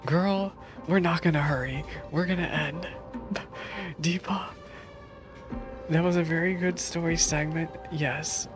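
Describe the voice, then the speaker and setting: A man's voice: a little high, fairly even intonation, slow pace, pauses often. A man giving casual, playful live-stream reactions to a game, with informal, exclamatory slang. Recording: game music behind voice. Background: game music and dialogue.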